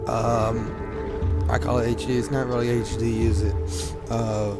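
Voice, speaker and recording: Hoarse teen rambling. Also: male voice, medium pitch, flat delivery, a little slow, over music. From Louisiana, US. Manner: rambling, laughs often, many fillers. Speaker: gaming commentator. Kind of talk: casual gaming commentary.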